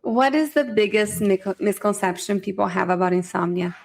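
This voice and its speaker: A woman hosting a podcast, asking a guest prepared questions in a measured way and adding her own comments. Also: medium pitch, vocal fry. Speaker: podcast host.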